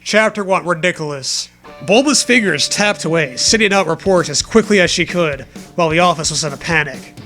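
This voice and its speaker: A man's voice: a little high, expressive, brisk, sharp tone, soft background music. A man co-hosting a comedy show, mockingly reading fan fiction aloud with sarcastic, profane banter.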